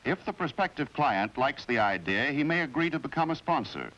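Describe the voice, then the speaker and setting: A man with an American accent narrates a 1950s training film from a script in a formal, measured, explanatory way. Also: medium pitch, lively intonation, rough recording. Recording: vintage 1950s film soundtrack with music.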